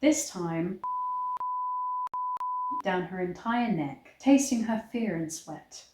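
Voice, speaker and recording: A woman reading a romance story aloud in a dramatic, expressive way, stretching words for emphasis. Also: medium pitch, a little hiss or hum. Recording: echoey, roomy sound.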